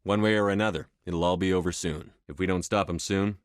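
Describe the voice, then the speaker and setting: An actor playing a stern, steady human military commander in a sci-fi video game, clipped, authoritative and matter-of-fact. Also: male voice, sits low, brisk, dry recording, studio quality, lightly acted. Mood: grave, determined. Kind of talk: video game dialogue acting.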